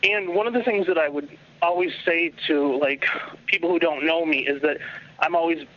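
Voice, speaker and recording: Higher-voiced caller from Philadelphia. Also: male voice, moderate pace, muffled recording. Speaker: first-time caller. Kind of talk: phone call-in.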